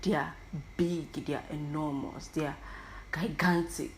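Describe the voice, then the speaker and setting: Low-voiced Nigerian woman with an Igbo accent. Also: steady tone, a little slow, a little hiss or hum. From Igbo land, Nigeria. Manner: stammering, repeats words. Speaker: podcast host. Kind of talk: personal reflective monologue.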